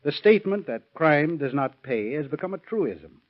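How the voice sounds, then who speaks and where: A man's voice: a little high, big pitch swings, moderate pace. A man giving a stiff, solemn scripted address as a sheriff, on a muffled 1930s radio recording. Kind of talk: scripted formal speech.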